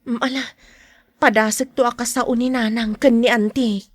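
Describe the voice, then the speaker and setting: A woman playing a husky, high-voiced caring mother. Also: fairly even intonation, normal speed, no echo, studio quality, close to an everyday voice. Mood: concerned. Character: caring wife and mother, anxious about money, soft-spoken. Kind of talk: podcast drama dialogue.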